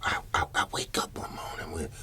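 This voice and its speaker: A man playing a paranoid, troubled older Southern farmer in an ASMR roleplay, whispering haltingly and repeating his words as he rambles. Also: pushed and bright. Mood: uneasy, bewildered. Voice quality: breathy whisper.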